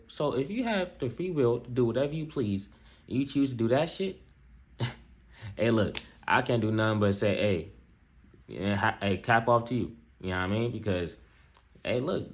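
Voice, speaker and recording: An American man with a mid-pitched voice talks casually as he reacts to a video. His delivery is slangy and unscripted, circling back over phrases and checking in with the listener as he goes. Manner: slangy, repetitive, conversational asides to viewer. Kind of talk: casual off-the-cuff reaction commentary.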